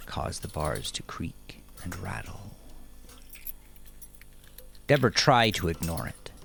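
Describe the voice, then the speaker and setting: A man narrating dark fiction in a dramatic, measured, brooding way, with phrase endings that drop to a hush. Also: low voice, creaky, a little hiss or hum. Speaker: audiobook-style narrator. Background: music.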